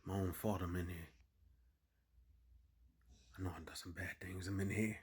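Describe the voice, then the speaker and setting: An actor playing a weary, aggrieved prison inmate in a dramatic monologue, muttering in terse street vernacular. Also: male voice, low voice, fairly even intonation, moderate pace, husky. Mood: weary, resentful.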